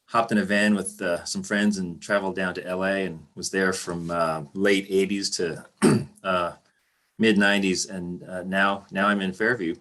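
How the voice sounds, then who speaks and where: Brief: deep-voiced Canadian-born man, halting, rock musician guest. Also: flat delivery, a bit bright.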